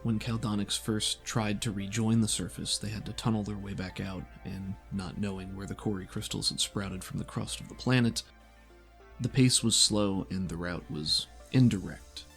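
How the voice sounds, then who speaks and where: A man runs a tabletop game, narrating scenes in a measured, descriptive way. His voice sits low with a gravelly creak. Soft music plays behind him. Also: steady tone. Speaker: game master.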